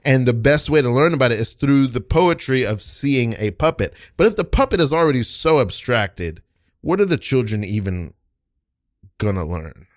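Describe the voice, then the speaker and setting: A man playing an earnest, self-justifying apprentice puppet builder in an improvised comedy scene, halting as he defends his idealism. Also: medium pitch, big pitch swings, dry recording, studio quality, lightly acted. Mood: insistent, idealistic.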